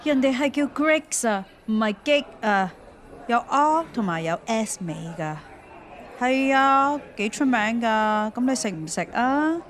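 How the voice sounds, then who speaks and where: A woman playing a blunt, no-nonsense wife in an audio drama, with a Hong Kong Cantonese accent and a brisk, bossy manner. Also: fairly high pitch, expressive, a faint crowd. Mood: brisk, exasperated. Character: blunt, no-nonsense wife who teases her husband. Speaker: supporting actor in an audio drama. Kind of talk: conversational audio drama dialogue.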